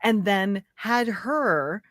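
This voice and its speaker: A woman's voice: medium pitch, expressive, extremely slow, fairly bright tone, dry recording, studio quality. A woman co-hosting a casual live chat, bubbly and giggly, often trailing off mid-sentence.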